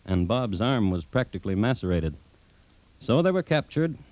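An actor playing a droll, solemn crime-history storyteller, narrating a 1950s radio drama in deliberate, dry, clipped phrases. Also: male voice, lowish voice, big pitch swings, a little mellow, dry recording. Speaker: host-narrator.

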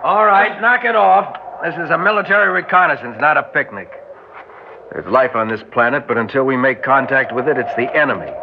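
Actor as stern military commander, tinny radio sound. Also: male voice, medium pitch, fairly quick, lightly acted. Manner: clipped, barking orders. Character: stern military commander of a space landing party. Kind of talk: old radio science fiction drama acting. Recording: tinny old radio recording with clear noise.

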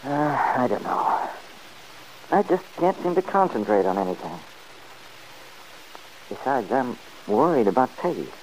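A man playing a restless, stubborn middle-aged man who answers gruffly and defensively, in 1940s radio acting. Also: mid-range voice, big pitch swings, measured pace, long pauses, slight background noise, poor audio.

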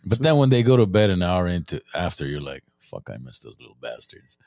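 A man's voice: deep voice, big pitch swings, a little fast, darkish tone, no room sound. A man co-hosting a podcast who drops wry, dry jokes. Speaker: podcast co-host. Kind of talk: casual podcast chat.

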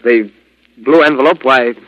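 An actor playing a secretive, defensive doctor in an old radio drama, with a gravelly voice. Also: male voice, medium pitch, a little slow, fairly bright tone, no echo, natural voice. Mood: uneasy, defensive. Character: secretive male doctor and stepfather, defensive under suspicion. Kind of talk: 1940s radio drama acting. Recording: dull vintage radio recording.

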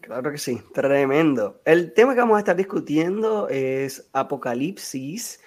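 Hesitant podcast host, slightly high voice. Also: male voice, expressive, unhurried. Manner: hesitant, frequent fillers and "¿verdad?". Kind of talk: casual interview.

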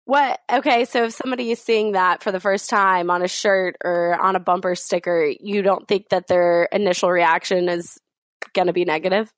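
A woman's voice: on the low side, steady tone, moderate pace, no echo. A young woman co-hosting a podcast, teasing and laughing often, while she has a cold. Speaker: podcast co-host. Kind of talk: casual podcast chat.

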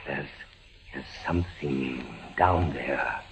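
An actor playing a tormented, frightened captive soldier in a 1940s radio suspense drama, halting and stammering, repeating his words. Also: extremely low pitch, fairly bright tone, soft background music, full character voice. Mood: alarmed. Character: tormented, frightened male soldier imprisoned by the Inquisition. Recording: muffled, noisy 1940s radio recording.

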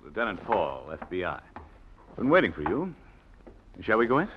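An actor playing a shrewd federal lieutenant in a 1940s radio drama, casual in phrasing but quietly insistent. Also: male voice, lowish voice, huge pitch swings, noisy background. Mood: cool, businesslike. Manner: casual, hesitant drawn-out phrasing, quietly pressing. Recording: dull old radio recording.

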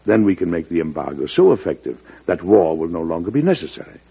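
A male actor playing a weary, earnest early American president in an old-time radio drama, speaking in a measured, formal way. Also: sits low, big pitch swings, slightly rough, exaggerated voice. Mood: firm, resolute. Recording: muffled vintage radio recording.

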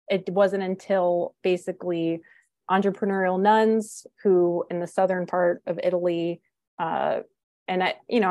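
Lower-voiced woman guest, careful explainer. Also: dark tone, dry recording, highs cut off. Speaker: guest food writer. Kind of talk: explaining in a podcast interview.

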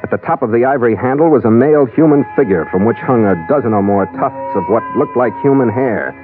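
A man's voice: medium pitch, a little fast, lightly acted. Man acting a hard-boiled sea captain on tinny old radio. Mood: measured storytelling. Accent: American. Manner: clipped, hard-boiled, matter-of-fact. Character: tough, seasoned male sea captain narrating his log. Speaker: lead actor and narrator. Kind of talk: 1940s radio adventure acting, scripted narration. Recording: tinny vintage radio broadcast, loud music.

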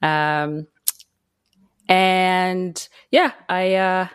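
A woman's voice: fairly low pitch, steady tone, extremely slow, smooth. Tired woman on a podcast. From Zimbabwe. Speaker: podcast guest, mining engineer. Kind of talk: casual interview chat.

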